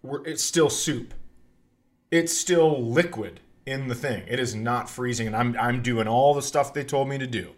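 A man's voice: mid-range voice, measured pace. A middle-aged man and podcast host telling casual comic stories in a rambling, profane way.

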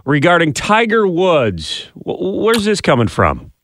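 A man's voice: a little high, huge pitch swings, a little slow, fairly bright tone, slight background noise. Chatty male radio show host. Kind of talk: casual radio banter.

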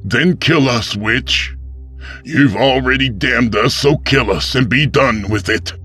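A man's voice: medium pitch, slow, fairly bright tone, soft background music, voice pushed up. A man voicing a fanatical frontier pastor in an audiobook, righteous and spiteful in his preaching. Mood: bitter, defiant.

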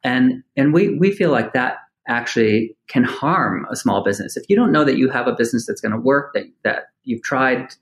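A man speaking as a company co-founder in an interview. He explains things earnestly and restarts his phrases often. His voice sits at a middle pitch. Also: lively intonation, moderate pace, hardly any pauses, poor audio.

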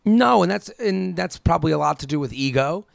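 A man in his thirties speaks in a fairly high voice with a lot of fry, recorded close and dry. He chats casually like an advice podcast host, firing off rhetorical questions and acting out imagined quotes. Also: animated, fairly quick, no echo. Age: 30s.

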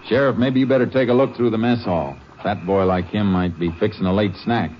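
Low-voiced Texas Ranger, played by a man, old radio. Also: brisk, slight background noise, lightly acted. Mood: businesslike. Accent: American. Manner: terse, businesslike questioning. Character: calm, authoritative Texas Ranger investigator. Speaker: lead actor and narrator. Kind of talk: 1950s radio drama acting. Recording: muffled 1950s radio recording, loud music.

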